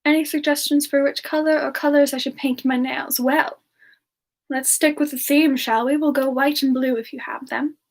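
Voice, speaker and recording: A woman playing a playful, affectionate and coy female demon siren, speaking softly and hesitantly in a semi-ASMR question-and-answer style. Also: sits high, fairly even intonation, moderate pace. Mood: musing. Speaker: host answering audience questions in character.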